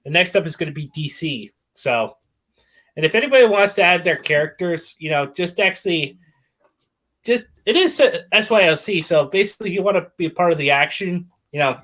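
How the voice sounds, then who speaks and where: A man's voice: fairly high pitch, lively intonation, a little fast, sharp tone. A man hosting a casual open-chat livestream from his laptop, rambling with lots of fillers. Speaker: livestream host. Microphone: laptop mic.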